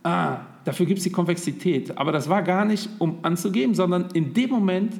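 A man in his thirties co-hosting a casual podcast, talking loosely and filling pauses with lots of hesitations. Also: high voice, creaky, darkish tone. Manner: chatty, with many hesitations. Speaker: podcast co-host.

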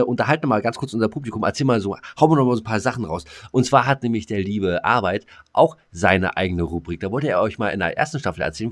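A man co-hosting a podcast, chatting casually in slangy, colloquial speech with a teasing manner. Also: mid-range voice. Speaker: podcast co-host. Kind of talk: casual chat.

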